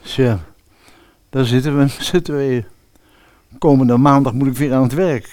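An actor playing a gentle, caring middle-aged widowed father in an audio fairy-tale drama, speaking in a conversational, softly coaxing way. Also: medium pitch, unhurried, no room sound, lightly acted. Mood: subdued, caring.

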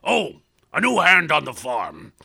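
A man voicing an older island farmer with a gravelly, raspy voice and grand, old-fashioned phrasing. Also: a little high, wildly swooping pitch, measured pace, bright, forceful tone, full character voice. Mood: theatrical.

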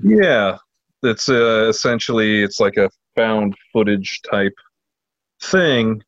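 Male podcast co-host, lowish voice, halting informal explainer, dry muffled lo-fi sound. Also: dry recording. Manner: halting, filler-heavy. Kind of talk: casual chat, informal book recommendation.

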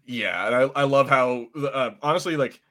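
A man's voice: mid-range voice, normal speed, sharp tone. A man hosting a podcast with casual, jokey banter and reviews, often crude and sweary. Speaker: podcast host.

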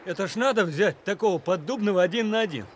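An actor playing a chatty, impressed male bystander in a radio drama, colloquial and excited. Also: sits high, fast, a little hiss or hum.

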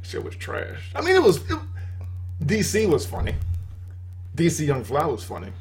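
A man's voice: fairly high pitch, huge pitch swings, normal speed, soft background music. A man co-hosting a podcast, chatting loosely in slangy, rambling casual talk. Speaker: podcast co-host. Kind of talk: casual chat.

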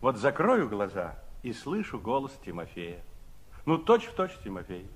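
Old man, teasing and blunt, husky, very varied intonation, vintage Soviet radio play. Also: mid-range voice, sing-song. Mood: teasing, musing. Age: older. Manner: teasing, slow-musing then emphatic. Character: teasing old pensioner, veteran revolutionary, blunt and sardonic. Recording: muffled 1967 radio theatre recording.

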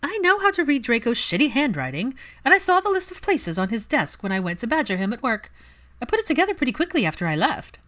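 A woman's voice: fairly high pitch, very animated, quick pace, a bit bright, pitched above the performer's own voice. A woman voicing a nosy young woman friend in an audiobook, with a pointed, mocking, impatient manner. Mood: smug, emphatic.

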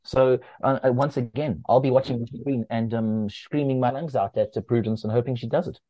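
A man who edits a running magazine, talking as a guest in a relaxed, explanatory way with plenty of fillers. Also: a little fast, no echo, rough recording. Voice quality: bass voice. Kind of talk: casual interview talk.

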